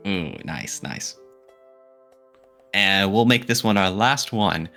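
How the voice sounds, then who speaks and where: Lively deep-voiced male podcast co-host. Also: takes it slowly, soft background music. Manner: informal, animated, reacts with exclamations. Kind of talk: casual opinion chat.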